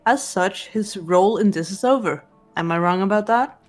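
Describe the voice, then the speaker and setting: A woman voicing a cool, blunt, analytical teenage girl detective, deadpan and terse, in amateur game voice acting. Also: mid-range voice, normal speed, faint music behind, lightly acted. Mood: cool, businesslike.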